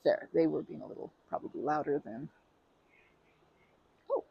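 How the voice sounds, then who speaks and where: A woman doing an ASMR roleplay as a polite stranger, talking softly with hesitant, rambling chatter and many restarts, sometimes dropping to a whisper. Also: deep voice, normal speed, gravelly, husky, dull audio. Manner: soft-spoken, drops to whispers.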